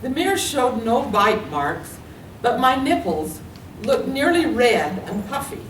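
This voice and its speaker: A woman with a fairly high voice reads her memoir aloud in a lively storytelling manner. She stands at a distance from the mic, and the room echoes around her. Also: unhurried, a little hiss or hum. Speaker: writer reading her memoir. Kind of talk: literary reading. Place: library meeting room.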